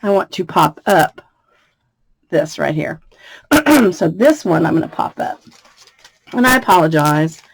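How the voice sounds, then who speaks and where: Chatty woman with a raspy voice, dry sound. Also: on the low side, sing-song, unhurried, long pauses, dry recording. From United States. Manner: chatty, laughs often, thinks aloud. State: slightly raspy voice. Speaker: craft demonstrator. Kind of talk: casual craft tutorial.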